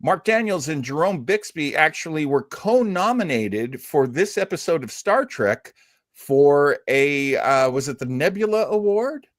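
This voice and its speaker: A man's voice: a little high, very animated, measured pace, no echo. Male podcast host, close dry sound. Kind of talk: casual review discussion of a TV episode.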